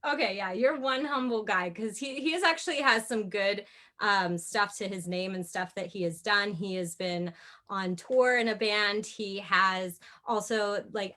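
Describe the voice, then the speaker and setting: A woman's voice: medium pitch, bright, forceful tone. Upbeat woman hosting an interview. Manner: upbeat, praising, filler-heavy. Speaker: interview host, acting coach.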